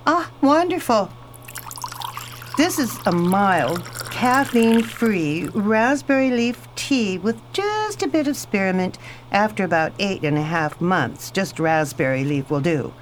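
An actress playing a posh, talkative superintendent's wife in a radio play, genteel and sure of herself. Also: female voice, mid-range voice, very animated, takes it slowly. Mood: gracious. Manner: genteel, chatty, self-assured. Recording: faint background noise.

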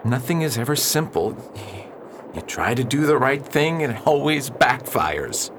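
An actor playing an earnest, troubled married preacher in a radio drama, formal and restrained in manner. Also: male voice, mid-range voice, big pitch swings, unhurried, a bit bright. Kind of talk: period stage-style radio drama acting. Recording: noisy, rain-like background hiss.

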